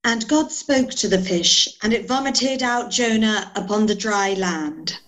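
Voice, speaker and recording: A woman's voice: medium pitch, measured pace, fairly bright tone, low-quality recording. A woman in her thirties giving a scripted narration of scripture. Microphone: video call.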